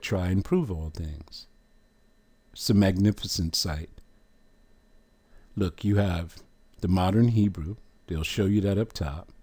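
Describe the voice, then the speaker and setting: A man with a fairly low voice gives a religious lesson at a very slow, deliberate pace. He stresses single words and leaves long pauses between phrases. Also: warm tone, slightly rough. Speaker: religious teacher.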